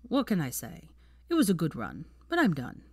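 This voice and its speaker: A woman voicing a calm, aloof young wizard man with a civil, drily sarcastic manner in a story reading. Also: breathy edge, dry recording, studio quality, full character voice. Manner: low-key, measured, civil, drily sarcastic.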